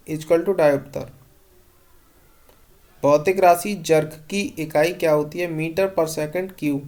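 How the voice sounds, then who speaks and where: An exam-prep teacher with a mid-pitched voice. The delivery is instructional, like notes read aloud, with each question posed and then answered briefly. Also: darkish tone.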